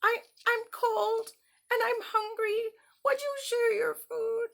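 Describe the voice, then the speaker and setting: A woman's voice: very high voice, fairly even intonation, takes it slowly, creaky, a bit bright, pitched above the performer's own voice, full character voice. A woman voicing a male grasshopper in a children's fable, sing-song and exclamatory. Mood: cold, pleading.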